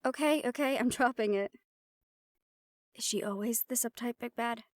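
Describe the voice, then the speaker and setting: A woman's voice: a little high, moderate pace, dry recording, clean studio sound, close to an everyday voice. A woman playing a playful, sarcastic and tired young team member in a fantasy audio drama, talking casually. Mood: teasing. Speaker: voice actor in an audio roleplay. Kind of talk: fantasy audio drama roleplay, conversational acting.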